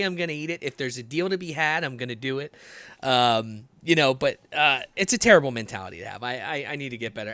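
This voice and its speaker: A man's voice: a little high, sharp tone, no echo. A man in his late thirties hosting a podcast, talking loosely and swearing freely as he chats. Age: late 30s. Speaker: podcast host. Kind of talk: casual conversation.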